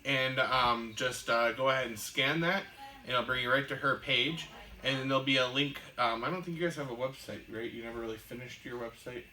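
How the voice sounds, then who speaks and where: A woman's voice: very deep voice, brisk, pushed and bright, rough recording. A woman co-hosting a casual chat show, rambling with lots of fillers and easy enthusiasm. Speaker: podcast co-host. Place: shop room indoors.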